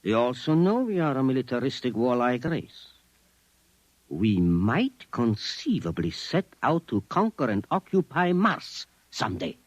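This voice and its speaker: A formal, earnest man with a fixed conviction, in 1950s radio drama style. His pitch swings dramatically as he stresses his points with pedantic care. The voice is slightly rough and recorded dry and close. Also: sing-song, a little slow, dry recording. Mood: earnest lecturing. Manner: formal, pedantic, emphatic phrasing. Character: earnest, precise, formal man convinced Martians live among us.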